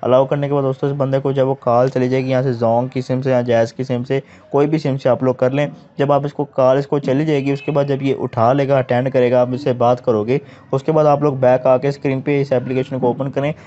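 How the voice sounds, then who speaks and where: A Pakistani man guides viewers step by step through an app at a brisk clip. His voice is dark and soft-edged, at a middle pitch. He speaks to listeners as friends and repeats his instructions, on a dull, lo-fi recording. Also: level tone. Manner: addresses listeners as friends, repetitive instructional phrasing. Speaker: tech tutorial host. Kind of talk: step-by-step app tutorial narration.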